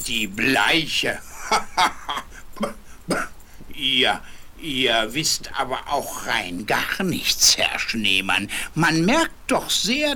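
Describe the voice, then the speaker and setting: An actor playing a gruff, scornful old yard dog in a fairy-tale radio play, with a gravelly, husky voice and an animated, know-it-all manner. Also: male voice, mid-range voice, heavily acted.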